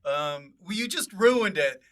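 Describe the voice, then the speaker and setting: Slow-talking, bright-voiced man hosting a comedy podcast. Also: sits high, expressive. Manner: jokey, playful, given to sudden loud exclamations. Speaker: podcast host. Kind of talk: casual comedic podcast chat.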